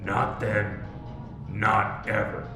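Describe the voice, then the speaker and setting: A man's voice: fairly low pitch, level tone, extremely slow. A man playing a battle-hardened, grim and unyielding first sergeant in a military sci-fi audio drama, terse and commanding. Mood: somber reflection. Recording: music bed behind the voice.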